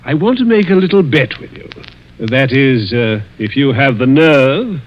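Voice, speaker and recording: An actor playing a suave, malicious older millionaire in a 1940s radio mystery, with silky, taunting, deliberate speech. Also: male voice, medium pitch, animated, close to an everyday voice. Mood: taunting, sly. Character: suave, malicious, wealthy older stepfather plotting murder. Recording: muffled vintage 1940s radio recording.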